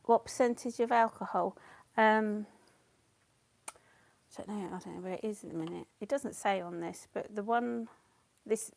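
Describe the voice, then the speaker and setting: A woman's voice: mid-range voice, normal speed, creaky, darkish tone. A woman chatting casually as she paints on a livestream, her voice partly lost and coughing, laughing often and answering comments. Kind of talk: casual chat while painting.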